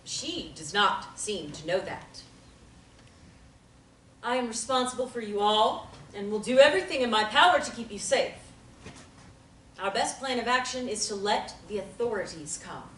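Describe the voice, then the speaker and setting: A bright, forceful actress's voice as a protective female therapist in a stage drama reading. She is calm and firm, choosing formal, measured words. The sound is roomy and distant from the mic. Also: mid-range voice, a little hiss or hum, natural voice. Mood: firm, reassuring. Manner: formal, measured wording with few contractions. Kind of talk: dramatic table reading of a play.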